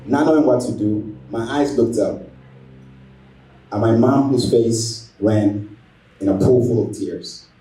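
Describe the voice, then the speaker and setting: Young guy, doing slam poetry, super mellow dark voice, roomy far-off mic. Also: medium pitch, slow pace, long pauses, faint music behind. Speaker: spoken word poet. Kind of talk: spoken word poetry performance. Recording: echoey room, distant microphone.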